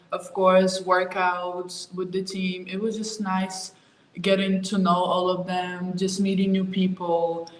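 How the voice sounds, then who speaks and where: A young woman from Ukraine, answering interview questions in a relaxed, easygoing way with plenty of fillers. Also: fairly low pitch. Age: young adult. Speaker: college basketball player. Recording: echoey room.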